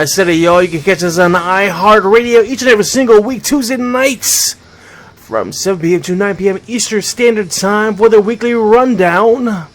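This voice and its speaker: A middle-aged American man from Connecticut hosting a radio show, talking informally and directly to his listeners. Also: sits high. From Bridgeport, Connecticut, United States. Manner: conversational, addresses listeners directly. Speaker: radio host and DJ.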